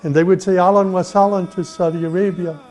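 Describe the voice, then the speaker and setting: A middle-aged man from the United States, earnestly telling his own life story at an unhurried pace, with pauses and restarts. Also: high-pitched, little pitch movement. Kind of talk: personal testimony, reflective storytelling. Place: Saudi Arabia. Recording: soft music behind the voice.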